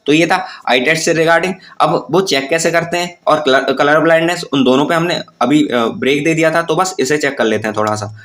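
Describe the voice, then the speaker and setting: A man with a voice pitched a little higher than most men. He presents an informal tutorial, explaining things plainly and step by step. English terms are mixed into his talk. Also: fairly quick, few pauses, fairly bright tone. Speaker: presenter of exam-preparation tutorials. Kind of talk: informal explanatory lesson to viewers.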